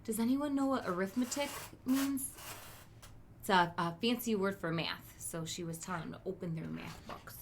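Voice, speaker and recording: A woman teacher reading a storybook aloud to children, expressive, with chatty asides to her listeners. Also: mid-range voice, moderate pace, background noise. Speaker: elementary school teacher.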